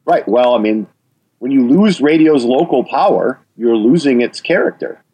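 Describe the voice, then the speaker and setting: A man's voice: mid-range voice, lively intonation, normal speed, mellow, breathy edge, low-quality recording. A man from Wisconsin with a Wisconsin accent, talking as an interview guest and stressing his points with repeated phrases. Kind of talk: interview about radio policy.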